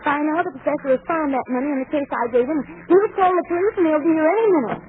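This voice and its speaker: A woman's voice: sits high, rapid-fire, lightly acted. An actress playing a flustered, scheming woman with fluttery, stammering, evasive talk in a 1940s radio comedy. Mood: defiant. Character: flustered, scheming woman stalling armed gangsters. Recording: thin, phone-like 1940s radio recording.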